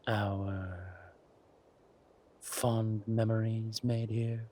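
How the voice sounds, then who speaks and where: Man acting a slow, low-voiced villain king. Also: level tone, warm tone, a little hiss or hum. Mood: gloating, fond. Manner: slow, deliberate, theatrical, breathy asides. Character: menacing villain king, smug, doting on his consort. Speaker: voice actor in romantic audio roleplay. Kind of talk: intimate scripted villain roleplay monologue.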